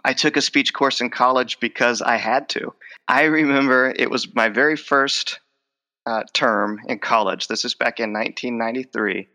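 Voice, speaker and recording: A man's voice: mid-range voice, normal speed, bright, forceful tone. A man in his forties, a speech professor who stutters, repeating words and restarting as he answers interview questions. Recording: long hall reverb.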